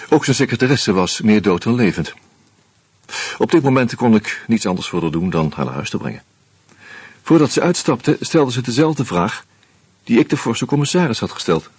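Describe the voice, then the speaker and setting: An actor playing a wry, uneasy screenwriter who narrates a radio play in a measured, reflective way with hesitant fillers. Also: male voice, low-pitched, slightly rough, no echo. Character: wry, uneasy male screenwriter caught up in murders.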